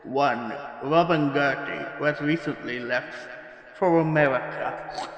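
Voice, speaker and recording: A man playing a jaded, scornful archivist in a parody audio drama, halting and dismissive as he records case statements. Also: a little high, gravelly, muffled recording. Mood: officious. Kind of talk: parody audio drama monologue, mock-formal narration. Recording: echoey room with long reverb.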